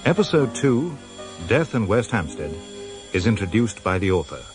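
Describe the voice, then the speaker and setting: Low-voiced British radio announcer, a man. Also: sing-song, moderate pace. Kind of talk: formal scripted announcing. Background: music.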